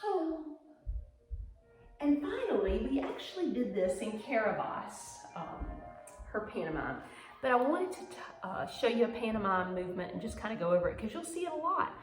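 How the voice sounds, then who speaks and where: A woman's voice: a little high, very animated, normal speed. A woman teaching a pantomime and ballet lesson on video, demonstrating as she talks with dramatic emphasis. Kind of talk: instructional video dance lesson. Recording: echoey room with music.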